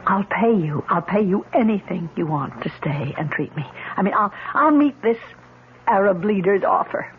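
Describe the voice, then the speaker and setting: A woman's voice: mid-range voice, animated, normal speed, close to an everyday voice. An actress playing a wealthy, stubborn, desperate woman patient, with dramatic, insistent delivery in old-time radio drama style. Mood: desperate, pleading. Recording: dull lo-fi radio recording with hiss.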